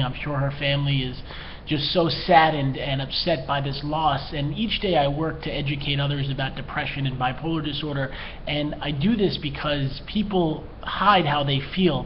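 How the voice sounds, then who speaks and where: Earnest, slightly tearful man, dull lo-fi sound. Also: medium pitch. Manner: earnest, heartfelt, pleading. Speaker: mental health educator, therapist and coach. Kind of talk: earnest personal talk to camera. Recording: dull lo-fi sound, faint background noise.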